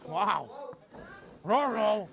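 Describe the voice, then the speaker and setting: Excitable male wrestling play-by-play caller. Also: high-pitched, extremely slow, gravelly. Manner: excitable, teasing, calls the action. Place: wrestling club. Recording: noisy venue with crowd.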